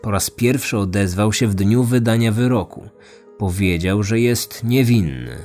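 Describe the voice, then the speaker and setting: Man narrating true crime, low-pitched voice. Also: animated, a little slow, darkish tone, faint music behind. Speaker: podcast host and narrator.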